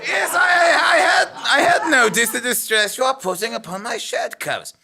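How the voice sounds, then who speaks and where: A man's voice: very high-pitched, expressive, normal speed, vocal fry, a loud crowd, very noisy, full character voice. A man playing a pompous, flustered, bookish clerk in a comic audio drama, over-formal and prone to stammering. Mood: indignant.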